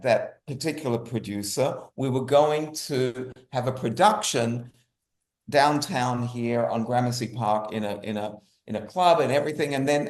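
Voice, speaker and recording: A male guest talks in an interview with a medium-pitched voice. He circles back over his phrases, restating them emphatically. The sound is roomy and echoey. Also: a little mellow. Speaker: guest, writer. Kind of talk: interview conversation.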